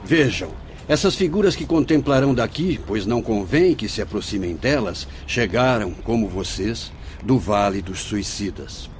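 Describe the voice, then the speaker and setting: A man's voice: on the low side, animated, normal speed, slight background noise, lightly acted. An actor playing a grave, fatherly director who lectures his apprentices in a solemn, theatrical radio drama style. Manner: solemn, preachy, theatrical declamation.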